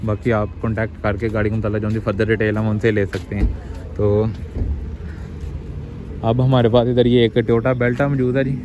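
A man's voice: lowish voice, level tone, moderate pace, long pauses, music in the background. A Pakistani man presenting used cars in walk-around commentary, with English words mixed into repetitive, salesman-like patter, outdoors at a car market. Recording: dull sound, clear outdoor traffic noise.

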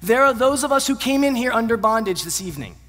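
A man in his thirties delivers a sermon with a fairly high voice. He speaks earnestly, pushing up to emphatic peaks and then falling away to quiet, low endings. His voice carries a long reverb from a large church auditorium. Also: sing-song, fast. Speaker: pastor.

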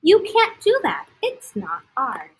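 A woman's voice: sits high, huge pitch swings, slow pace, husky, exaggerated voice. A woman voicing nosy, doubting animals in a children's storybook, blunt and questioning. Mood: suspicious.

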